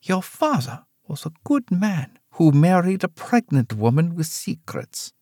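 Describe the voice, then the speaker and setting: A man voicing a sharp, guarded woman in her nineties who speaks quietly and steadily, in a storybook reading. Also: a little high, very animated, measured pace, no echo, clean studio sound, voice pushed up, full character voice. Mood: resigned steady. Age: 90s.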